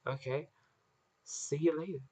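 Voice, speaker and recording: A man with a croaky voice, just woken up, reading a chapter of his own story aloud into a laptop webcam and then signing off casually. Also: a little high, steady tone, unhurried, darkish tone. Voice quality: croaky, gravelly. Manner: casual, rambling sign-off with fillers. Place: at home in front of a laptop.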